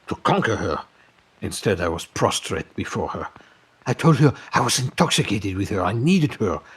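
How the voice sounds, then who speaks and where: A man's voice: on the low side, breathy edge. A man playing a wealthy, pompous French film producer, lovesick and vengeful, telling his story in florid, melodramatic audio drama. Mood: confessional, yearning. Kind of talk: dramatic first-person storytelling in audio fiction.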